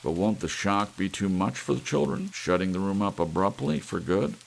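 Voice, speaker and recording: A man's voice: sits low, expressive, normal speed, gravelly. A man voicing an uneasy, stubborn father in an audiobook story, terse and defensive. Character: uneasy, stubborn father at odds with his household. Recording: audible hiss with rain-like noise.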